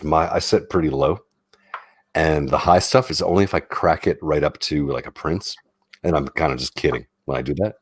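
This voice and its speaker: A married man co-hosting a late-night stream, chatting casually in a deep bass voice with a joking, teasing manner. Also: slightly rough. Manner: joking, teasing, laid-back. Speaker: stream co-host.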